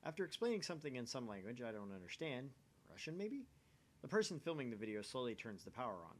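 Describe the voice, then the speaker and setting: A man's voice: mid-range voice, sing-song, fast. A man hosting a let's play, reading visual novel narration aloud and chatting casually in a self-deprecating way.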